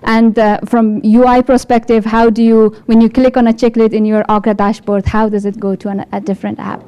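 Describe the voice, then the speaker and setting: A woman's voice: on the high side, little pitch movement, brisk, mellow, clean tone, dull audio. A woman from Afghanistan with an Afghan accent, giving a chatty tech conference talk full of rhetorical questions. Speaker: developer support engineer giving a talk. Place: event hall with an audience.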